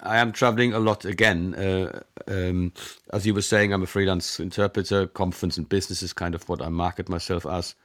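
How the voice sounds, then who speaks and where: A German-native man in his forties speaks in a deep, low voice, recorded dry and close. He talks as an interview guest, pausing on ums and restarting his sentences. His delivery is relaxed and conversational. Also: dry recording. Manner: hesitant, many ums and restarts.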